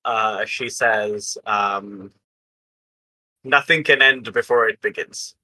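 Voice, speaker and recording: A man telling an improvised story casually as a game player, haltingly piecing it together aloud. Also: fairly low pitch, pushed and bright, poor audio.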